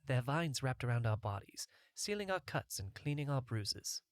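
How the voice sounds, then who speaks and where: A man's voice: mid-range voice, sing-song, moderate pace, no room sound, studio quality. A man reading a first-person fantasy adventure story as a scripted audiobook narration.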